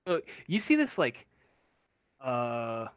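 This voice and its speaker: A man's voice: mid-range voice, wildly swooping pitch, slow pace, smooth, dry recording, studio quality. A man narrating a tabletop role-playing game as game master, improvising and searching for his words.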